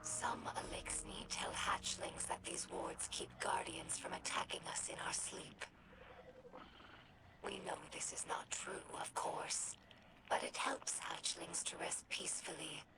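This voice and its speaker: A woman voicing an earnest, gentle young female alien scribe in a video game, narrating lore in a measured, formal, reflective way with a breathy voice. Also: fairly low pitch, in a whisper, poor audio. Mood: sober, resigned. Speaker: scribe recording her house's history.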